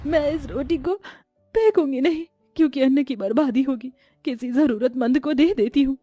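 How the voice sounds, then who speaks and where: A woman's voice: high voice, moderate pace, dark tone, no echo, faint music behind, close to an everyday voice. A woman voicing a poor, humble young daughter-in-law in a cartoon story, in a meek, sincere manner. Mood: resolved.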